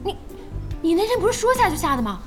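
Young female gamer, high and very animated, nervy and excitable, faint music underneath. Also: fast. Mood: curious, impressed. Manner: excitable, expressive, wide-eyed. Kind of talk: Chinese audio drama acting.

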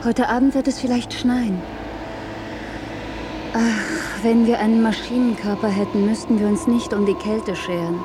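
A woman's voice: fairly high pitch, fairly even intonation, a little slow, noisy background, close to an everyday voice. A woman acting as a loving, protective mother in a German radio play. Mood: calm, wistful.

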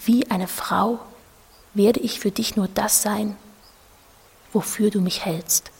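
An ethereal female android , played by a woman, whispers in long reverb. Her breathy voice moves slowly and solemnly, pleading and seducing like an apparition in a literary audio drama. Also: medium pitch, steady tone, darkish tone, full character voice. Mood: pleading, intimate. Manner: slow, solemn, poetic. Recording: long reverberant hall effect.

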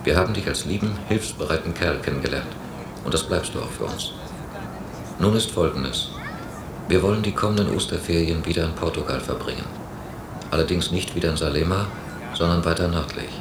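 An actor playing a brooding, doubtful traveller in a radio drama, speaking in a measured, reflective way. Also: male voice, low voice. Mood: measured, gentle. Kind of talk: radio drama inner monologue and dialogue. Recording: hissy cassette copy of radio drama.

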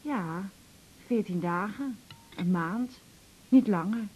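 An actress playing a candid, gently wry and anxious older mother in a radio drama, confiding in a hesitant way. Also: mid-range voice, slow pace, breathy edge, soft background music, low-quality recording. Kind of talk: naturalistic radio drama acting.

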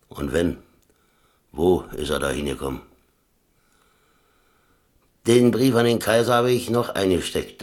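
An actor playing a laconic German ex-prisoner and adventurer, talking in a dry, colloquial, musing way in a radio drama. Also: male voice, sits low. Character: laconic, wry German ex-prisoner and adventurer telling his story. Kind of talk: radio drama acting and first-person narration.

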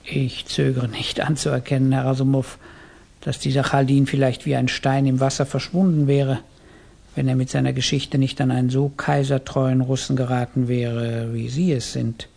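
An actor playing a courteous but coldly menacing Tsarist police chief in a German radio play, formal, probing and gravelly. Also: male voice, medium pitch, little pitch movement, normal speed, darkish tone. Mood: quietly menacing.

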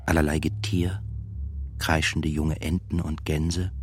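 A deep, brooding male voice of a lonely, disillusioned composer. He delivers an inward radio-play monologue in measured, literary phrases, weighed down by self-doubt. Faint music runs behind him. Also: flat delivery. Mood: brooding, reflective.

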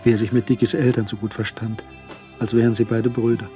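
A man narrating a crime radio drama in a sober, literary manner with measured, clipped phrasing. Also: medium pitch, little pitch movement, mellow, soft background music, lightly acted. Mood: reflective.

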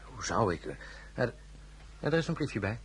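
A man playing a gruff, dryly ironic marshal and husband in a period radio drama, speaking in an unhurried, murmuring way. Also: fairly low pitch, very animated, a little hiss or hum. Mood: curious.

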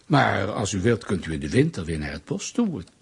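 An actor playing a patronizing, sardonic Soviet official in a radio drama, mock-polite and bullying. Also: male voice, lowish voice, big pitch swings, brisk, gravelly, breathy edge, exaggerated voice. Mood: condescending, mocking. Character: menacing, condescending male Soviet state official, bureaucratic bully.

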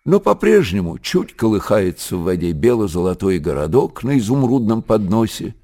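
A man with a husky voice giving an expressive storytelling narration of literary prose for radio. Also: fairly low pitch, moderate pace.

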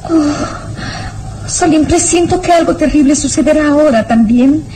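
A woman's voice: high voice, measured pace, mellow, poor audio. A woman playing an anxious, insistent young lady in an old radio serial, melodramatic and urgent. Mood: fearful, uneasy. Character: anxious, insistent young noblewoman demanding answers.

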